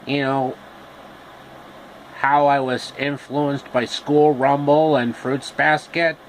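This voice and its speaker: A man voicing a cowardly lion from a storybook, blustering yet sheepish, with drawn-out, repetitive phrasing. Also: mid-range voice, little pitch movement, a little hiss or hum, lightly acted, low-quality recording.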